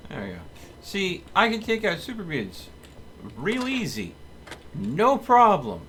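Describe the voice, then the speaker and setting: A man's voice: sits high, huge pitch swings, a little slow, fairly bright tone. A man giving casual live commentary as he streams a video game. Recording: faint background noise.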